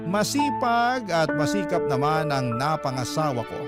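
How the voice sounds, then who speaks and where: A Filipino man narrates as a radio storyteller, reading a letter in a dramatic style. His voice sits a little high for a man, with loud instrumental music playing behind it. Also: very animated, normal speed.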